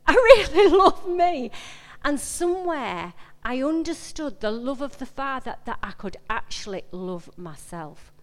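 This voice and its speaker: A woman's voice: high voice, big pitch swings, measured pace. A woman with a Yorkshire accent giving an informal, personal sermon, emphatic and conversational, repeating phrases and putting questions to her listeners. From Yorkshire, England. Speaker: preacher. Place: large indoor meeting room. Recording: echoey hall with long reverb.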